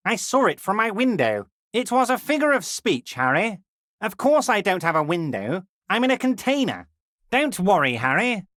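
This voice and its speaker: A fat, scheming union boss from a video game. His high, almost womanly voice swings up and down with exaggerated, drawn-out emphasis. He is oily and falsely chummy, reassuring with open condescension, recorded very dry. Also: sharp tone, no room sound, heavily acted. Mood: amused, brisk. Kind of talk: video game character dialogue.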